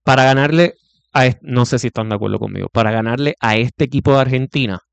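A man's voice: mid-range voice, gravelly, clear, resonant tone, no room sound, lo-fi sound. A man hosting a basketball talk show, listing rival players and breaking down how they play. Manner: breaks down opposing players, lists names with pauses. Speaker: sports show host.

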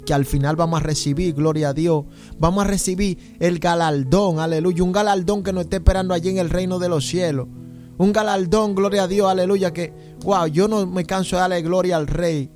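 A man's voice: sits high, steady tone, a little fast, a little mellow, faint music behind. A Dominican man preaching an informal, testimonial sermon, fervent and exclamatory, peppered with refrains of praise. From San Juan de la Maguana, Dominican Republic.

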